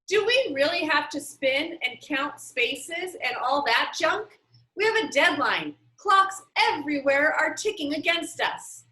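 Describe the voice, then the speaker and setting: A woman's voice: high voice, expressive, unhurried, sharp tone, heavily acted. A woman reading the part of a blunt, impatient know-it-all girl in a children's storybook. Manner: emphatic, impatient. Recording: roomy, echoey sound.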